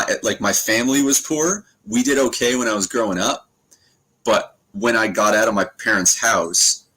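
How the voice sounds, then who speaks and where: A man in his late thirties with a fairly low voice, speaking in casual conversation. He sounds reflective and halting, pausing often as he thinks his way through each point. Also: a bit bright, rough recording. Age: 30s.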